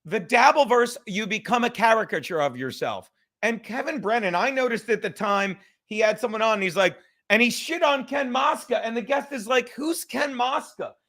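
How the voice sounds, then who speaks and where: A man with a high, bright voice hosts a loose livestream hang. He rambles casually, putting himself down and swearing freely as he goes. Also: expressive, normal speed. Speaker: livestream host. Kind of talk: casual profane livestream chat.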